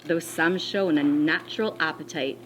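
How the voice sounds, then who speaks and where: A woman schoolteacher reading a children's storybook aloud, expressively and deliberately, with dramatic pauses. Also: low voice, a little hiss or hum, rough recording. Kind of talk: read-aloud of a children's storybook with teacher asides.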